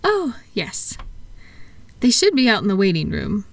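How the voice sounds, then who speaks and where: A woman playing a kind, unhurried attendant at a calming clinic, soft-spoken and reassuring in a relaxation roleplay. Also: mid-range voice, vocal fry, no echo, heavily acted. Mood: welcoming.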